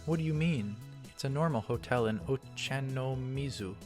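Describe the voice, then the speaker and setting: A man voicing a sharp, irritated young woman while reading a visual novel. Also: medium pitch, moderate pace, music in the background, voice pushed up, natural voice. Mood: puzzled.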